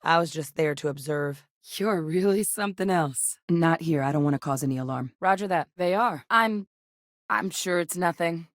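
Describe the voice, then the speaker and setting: An actress voicing a tough, composed female military scientist in a video game, speaking in a brisk, dry manner. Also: low-pitched, dry recording, close to an everyday voice. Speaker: game character voice lines.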